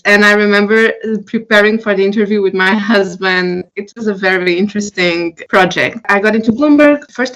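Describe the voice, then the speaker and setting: A woman in her thirties from Portugal, speaking English, chatting in a relaxed, thoughtful way as an interview guest. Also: mid-range voice, poor audio. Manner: relaxed, thoughtful, a few restarts. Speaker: guest, company founder. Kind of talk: casual interview chat.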